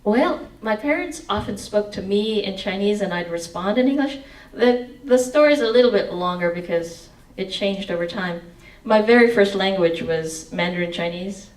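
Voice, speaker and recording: A woman's voice: mid-range voice, normal speed, few pauses, echoey room, far from the mic, low-quality recording. A woman in her forties reading aloud from her memoir with a headset mic, then answering audience questions. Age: 40s. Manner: expressive reading, sings snatches of song. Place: indoor meeting room.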